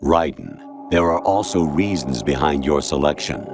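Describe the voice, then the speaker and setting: Artificial intelligence, male, bottom-deep pitch, cold, authoritative, condescending, dramatic game cutscene, loud score behind. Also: music in the background. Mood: smug, triumphant. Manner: measured, lecturing, authoritative. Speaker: video game villain.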